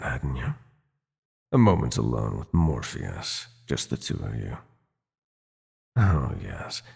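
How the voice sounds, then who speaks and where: A man playing a sinister, seductive nightmare god in a roleplay audio drama, gloating and possessive, with a slow theatrical, teasing drawl. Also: a bass voice, gravelly, breathy edge, heavily acted. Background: music.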